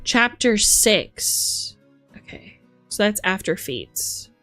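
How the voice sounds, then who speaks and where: Female streamer, theatrical and animated, highly varied pitch, casual live chat and read-aloud, dry sound over music. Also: slow pace, no room sound. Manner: animated, theatrical, reacting to chat as she reads. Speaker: streamer host. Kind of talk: casual livestream chat with reading aloud from a rulebook.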